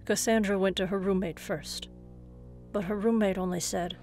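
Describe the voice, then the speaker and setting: A woman's voice: mid-range voice, steady tone, normal speed, a little mellow. Woman narrating horror over faint music. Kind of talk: suspenseful scripted horror narration.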